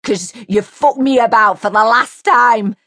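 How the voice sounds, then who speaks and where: A woman's voice: fairly high pitch, unhurried, no echo, clean studio sound, voice pushed up. A woman voicing an enraged woman who screams and swears at her departing partner. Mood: screaming. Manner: shouting, screeching, foul-mouthed. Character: furious woman, shrieking at her cheating partner.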